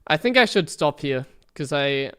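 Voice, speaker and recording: A man's voice: on the high side, animated, normal speed, bright, forceful tone, smooth. German man rambling over his gameplay. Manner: rambling, self-critical, frank. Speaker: streamer. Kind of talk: casual commentary on his own gameplay.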